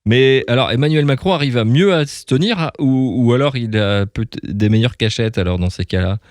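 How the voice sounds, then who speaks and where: Man, radio interviewer, medium pitch, casual chat, dry close sound. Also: a little fast, a little mellow, dry recording.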